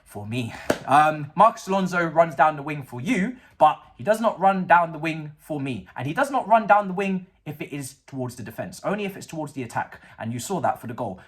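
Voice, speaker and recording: A man vents in a casual fan rant, full of sarcasm and slang. His pitch swings widely from low grumbles to high, exasperated peaks, while his pace jumps between drawn-out lines and quick bursts. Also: animated. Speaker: football fan vlogger. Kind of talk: casual match reaction rant.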